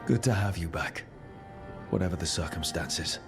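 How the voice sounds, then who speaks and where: A man's voice: sits low, moderate pace, creaky, music in the background. A man playing an earnest, resolute young leader of heroes in a fantasy game. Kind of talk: dramatic video game acting.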